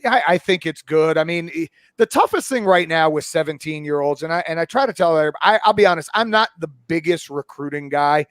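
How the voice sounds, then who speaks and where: High-pitched, opinionated man in his forties. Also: lively intonation, fast, hardly any pauses, fairly bright tone, dry recording, studio quality. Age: 40s. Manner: opinionated, blunt, swearing. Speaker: college football pundit and podcast guest. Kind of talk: casual sports talk.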